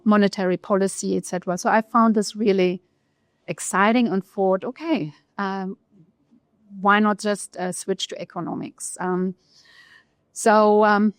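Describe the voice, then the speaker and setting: A woman with a German accent, a research economist telling her career story in an interview, pausing often with fillers. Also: mid-range voice, no room sound, clean studio sound. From East Germany. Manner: thoughtful, pauses often with fillers.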